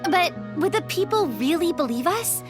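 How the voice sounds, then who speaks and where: Polite hesitant young game character, really high girlish voice, music behind. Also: expressive, normal speed. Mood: worried. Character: polite, cautious, hesitant young woman player. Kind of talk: video game character acting.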